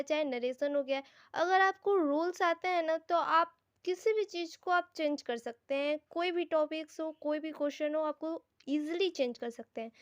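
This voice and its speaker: A woman's voice: sits high, dry recording, muffled recording. A woman with an Indian accent giving an informal grammar lesson as a teacher, explaining things directly to her students. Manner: explanatory, addresses viewers directly, mixes Hindi and English.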